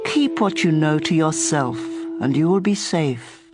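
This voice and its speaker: A woman's voice: low voice, expressive, measured pace. A woman giving a dramatized reading of scripture as an audio Bible reader. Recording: prominent background music. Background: music.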